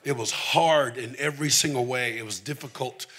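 A male preacher from the southern United States with a bright, forceful voice in a middle register. He speaks conversationally and candidly, building personal testimony with repeated, emphatic phrases. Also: mid-range voice, normal speed, husky. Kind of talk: conversational sermon with personal storytelling.

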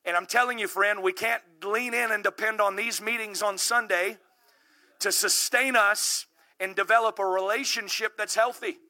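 A man in his thirties preaching a sermon with rising passion, calling out to the congregation and building to shouts. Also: high-pitched, fairly even intonation, normal speed. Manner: calls out to the congregation.

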